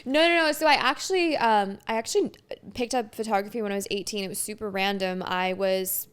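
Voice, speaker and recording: A woman's voice: medium pitch, a little fast, clear, resonant tone. A woman in her twenties from France, a podcast guest chatting casually and upbeat, with many filler "likes". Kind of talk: casual chat.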